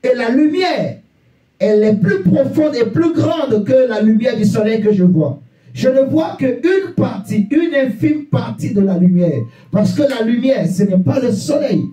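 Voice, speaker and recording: A man's voice: very high-pitched, expressive, measured pace, mellow. A man preaching a sermon in an emphatic, exhorting manner, repeating phrases and putting rhetorical questions to his listeners. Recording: echoey room, distant mic, faint music.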